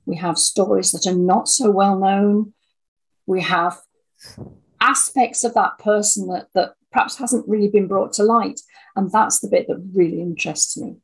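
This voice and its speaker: Older lady in her sixties or so, husky creaky voice, thoughtful interview chat, stops and restarts. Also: medium pitch, poor audio. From Scotland. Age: 60s or older. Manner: thoughtful, halting with repeated words. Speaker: interview guest, audio dramatist. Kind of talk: reflective interview conversation.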